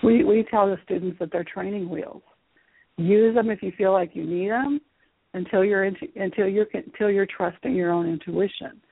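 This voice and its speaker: A woman speaking as a guest teacher and author in a radio interview, explaining things in a measured way. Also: mid-range voice, creaky, breathy edge, dry recording. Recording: muffled phone-like radio line.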